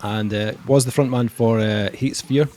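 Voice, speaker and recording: A man's voice: medium pitch, steady tone, mellow, soft background music. A young man reviewing an album on a podcast, talking casually with lots of ums and joking at himself. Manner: halting, lots of ums, jokey self-mockery.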